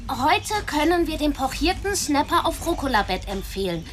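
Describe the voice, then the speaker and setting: An actress playing a naive, sulky young streetwalker who dreams of acting, childlike and whiny, in a German radio drama. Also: female voice, high-pitched, sing-song, unhurried. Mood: wistful, sulky.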